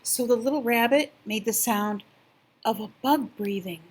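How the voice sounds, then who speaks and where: An older woman reading a children's picture book expressively, gentle and drawn-out, hushed in places. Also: on the high side, a little hiss or hum. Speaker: storyteller.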